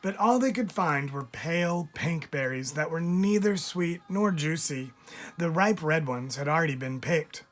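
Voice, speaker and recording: A man's voice: a little high, lively intonation, measured pace. Man reading a children's story over music. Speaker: teacher reading a picture book aloud. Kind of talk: expressive read-aloud narration for children. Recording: music under the voice.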